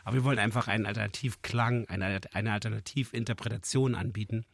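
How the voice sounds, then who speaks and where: A man with a cold and a gravelly voice, a festival director answering interview questions in a measured, explanatory way. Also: lowish voice, steady tone, dry recording. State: has a cold, voice husky.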